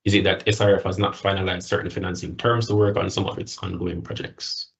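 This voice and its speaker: On slightly muffled, lo-fi call audio, a man moderates an earnings call. His voice is low with plenty of creak as he reads out each question. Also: level tone, brisk.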